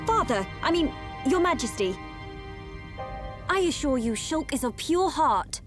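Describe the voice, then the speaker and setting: Young game princess, high voice, formal, earnest, music behind. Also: female voice, sing-song, unhurried. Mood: pleading. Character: young winged crown princess, earnest, formal and self-doubting. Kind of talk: scripted game cutscene acting.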